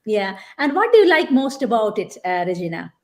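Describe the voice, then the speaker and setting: A woman's voice: mid-range voice, big pitch swings, a little fast. A woman hosting a live online interview, chatty with many fillers and restarts. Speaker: host.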